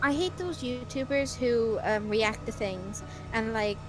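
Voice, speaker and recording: A woman's voice: a little high, measured pace, rough recording. A woman chatting casually in a group while playing a video game. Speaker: voice actor in a group game playthrough. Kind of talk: casual group banter over gameplay. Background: video game music.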